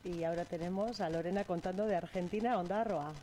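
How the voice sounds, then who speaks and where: A woman's voice: fairly low pitch, fast, crowd noise. A woman casually co-hosting an interview. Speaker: co-host.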